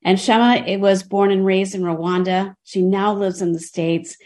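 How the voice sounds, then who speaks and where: A woman's voice: lowish voice, a little mellow. A woman hosting a podcast, introducing her guest in an earnest way with some fillers. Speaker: podcast host.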